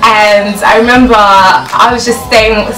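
A young woman from Ghana telling a personal story in a casual vlog, animated and laughing as she acts out her own reactions, filmed in a small bedroom. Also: a little high, slow pace, recorded from a distance. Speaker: vlogger. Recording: echoey room, voice off-mic, loud music behind.

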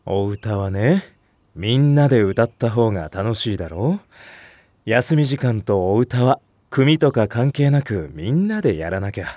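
Calm, expressive fatherly teacher. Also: fairly low pitch, a little slow, no echo. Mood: patient. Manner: patient, composed, gently firm. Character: calm, fatherly senior male nursery teacher. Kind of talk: Japanese voice drama acting.

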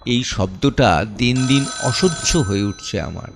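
A man playing an anguished, protective middle-aged father in an audio drama monologue, with halting, emotive delivery full of pleas and pauses. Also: medium pitch, animated, vocal fry, noisy background. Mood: weary, subdued. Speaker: voice actor and reciter. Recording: music under the voice. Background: background music.

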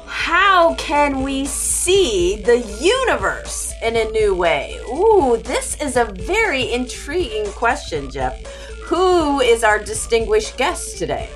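A woman's voice: a little high, sing-song, slow pace, faint music behind. A woman hosting a science podcast for kids, enthusiastic and exclamatory. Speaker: podcast host. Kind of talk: upbeat kids' podcast hosting.

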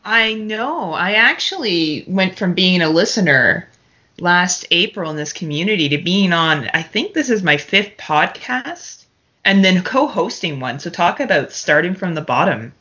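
A woman's voice: fairly low pitch, animated, a little slow, bright, forceful tone. A woman from Canada talking casually and chattily as a guest on a film podcast. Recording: dull, lo-fi podcast audio.

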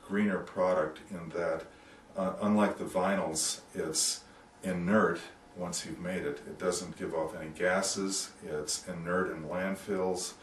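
A man in his sixties giving an informal product explanation as a company representative, measured and explanatory, with hesitant pauses. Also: low voice. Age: 60s. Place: small office indoors. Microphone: camera microphone a few metres away. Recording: roomy, distant microphone, background noise.

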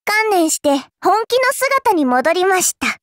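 A woman's voice: extremely high pitch, sing-song, a little slow, a bit bright, dry recording, clean studio sound, exaggerated voice. A woman voicing a small, cute, sly girl spirit in an anime game, speaking politely with coy, smug teasing. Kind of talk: anime game character voice lines.

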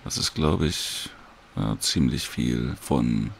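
Very deep, gravelly, slow-talking man. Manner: informal, hesitant, unhurried. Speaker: audiobook creator giving a download tutorial. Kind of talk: casual screen-recording walkthrough.

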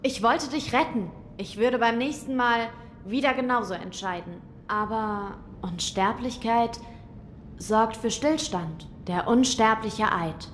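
A young lordly boy voiced by a woman speaks gravely and formally in an audio drama. He sounds earnest and resolute, with long reverb around him and faint rumble behind. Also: takes it slowly, fairly bright tone.

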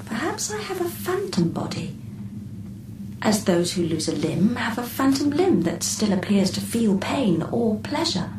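A poised, playfully sly female artificial intelligence in a radio play. She speaks in formal, exact, measured phrases. The sound is roomy and a little distant, with clear noise behind her. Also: a little high, very animated. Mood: reflective. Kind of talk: radio drama acting.

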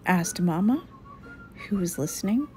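A woman reading a children's story aloud in an expressive, deliberate, dramatic way. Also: fairly low pitch, faint music behind.

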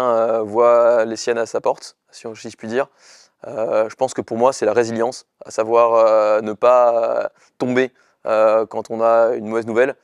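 Fast-talking young man, flat pitch. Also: clean tone, dry recording, studio quality. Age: young adult. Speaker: startup co-founder and chief executive. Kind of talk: interview testimonial to camera. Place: indoor studio with dark backdrop. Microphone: lapel mic.

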